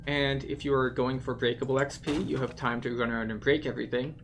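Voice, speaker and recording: A game tour guide giving casual walkthrough commentary, explaining things in a matter-of-fact, unhurried way. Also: medium pitch, steady tone, a little hiss or hum.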